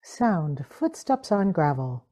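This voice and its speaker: A woman's voice: fairly low pitch, very animated, slow, mellow. A woman reading out sound-effect cues as terse stage directions. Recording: noisy, distant online-call audio.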